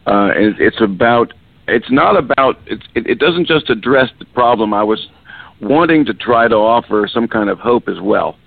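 A middle-aged man, a musician guest with a raspy voice, talking in a relaxed, rambling way with many fillers. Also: mid-range voice, moderate pace, no echo. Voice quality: raspy voice after illness. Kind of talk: phone interview on radio. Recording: dry tinny phone line.

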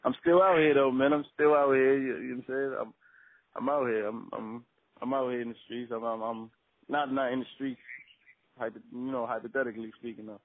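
A man's voice: medium pitch, fairly even intonation, fairly quick, dry recording, phone-quality sound. A twenty-year-old man, a rapper being interviewed, chatting casually in slangy speech and repeating phrases for emphasis.

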